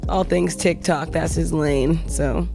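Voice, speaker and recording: A woman's voice: low voice, fairly even intonation, slow pace, vocal fry, over music. Slangy, laughing young woman host. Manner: slangy, enthusiastic, laughs often. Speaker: podcast host. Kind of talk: casual podcast chat.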